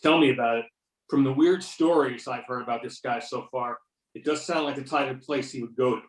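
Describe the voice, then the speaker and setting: A man's voice: medium pitch, a little fast, natural voice. A man playing a sardonic, confident FBI agent in an amateur readers-theater performance, with pointed questioning. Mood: wry. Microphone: videoconference or conference call. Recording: roomy echo, distant microphone.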